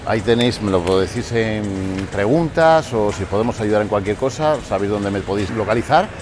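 A man in his forties with a lowish voice coaches gym exercises in an explanatory, step-by-step way, often pausing to check that listeners follow. He is recorded on a lapel mic in a large indoor gym, with music clearly audible behind him. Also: lively intonation, a little mellow. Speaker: fitness coach.

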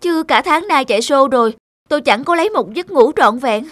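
A man's voice: very high-pitched, expressive, fairly quick, fairly bright tone, no room sound, studio quality. A man voicing a weary, longing young singer in a cartoon, with a plaintive, theatrical delivery. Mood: weary, complaining. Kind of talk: cartoon voice acting.

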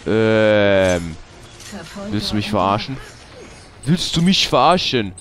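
A man's voice: on the low side, very animated, slow pace, fairly bright tone. Young man with a cold, ranting. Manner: swearing, frustrated ranting. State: has a cold. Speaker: let's play host. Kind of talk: casual gameplay commentary.

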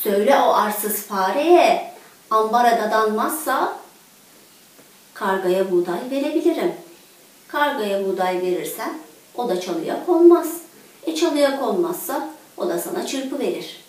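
A woman's voice: mid-range voice, animated, measured pace, lightly acted. Woman as an angry, stern talking storehouse. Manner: indignant, stern. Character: angry, stern grain storehouse making demands. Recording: echoey room, distant microphone.

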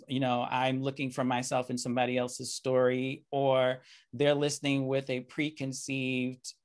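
A middle-aged man giving a keynote lecture over a video call, speaking in a measured, reflective way with frequent "um" and "you know" fillers. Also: mid-range voice. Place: indoor room, video call.

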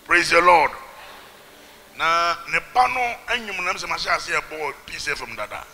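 Bright-voiced man hosting. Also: a little high, animated, a little slow, a little hiss or hum. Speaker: host, interviewer. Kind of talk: ceremonial church interview. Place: church stage. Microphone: handheld mic.

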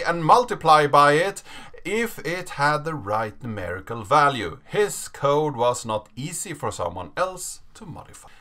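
A man in his thirties reads a story aloud in a dramatic, deliberate way. His voice is crisp-edged and bright, a little high for a man, with lively swings in pitch and a slow pace. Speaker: narrator reading a story aloud. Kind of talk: dramatic reading of a written story.

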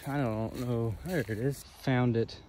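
A man's voice: medium pitch, normal speed, a little mellow. A young man giving casual, off-the-cuff commentary in a laid-back, slangy way as he vlogs on a handheld camera. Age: young adult. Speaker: vlogger. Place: outdoors on a wooded trail. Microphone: handheld phone or camera at arm's length. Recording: outdoor nature ambience, faint noise.

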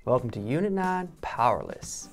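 A man in his thirties from Texas with a Texan accent, presenting an English lesson in a relaxed, chatty way from a studio. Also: medium pitch, moderate pace, soft background music. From Texas, United States. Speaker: English teacher. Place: studio in front of a backdrop.

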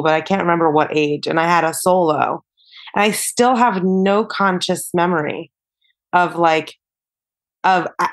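A young woman with a somewhat low voice talks casually as a podcast guest. She rambles in a relaxed way, peppering her sentences with "like" and "you know". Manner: chatty, many fillers like "like" and "you know". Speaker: podcast guest, singer-songwriter. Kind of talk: casual interview chat.

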